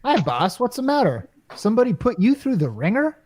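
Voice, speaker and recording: A man's voice: extremely high pitch, wildly swooping pitch, fairly quick, background noise, heavily acted. A man playing a wry, cocky, down-to-earth repairman hero in a retro radio comedy. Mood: wisecracking. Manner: wisecracking, casual.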